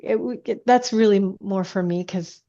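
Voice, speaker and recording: Frau im Podcast, dumpfer Lo-Fi-Klang. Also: female voice, mid-range voice, normal speed, dark tone. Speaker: science fiction author, podcast guest. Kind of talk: casual podcast conversation. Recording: dull, lo-fi sound.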